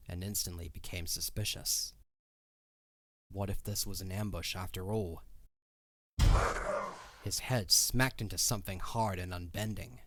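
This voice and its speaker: A man's voice: sits low, fairly bright tone, dry recording. A man narrating a scripted fantasy story as the narrator of an audio drama.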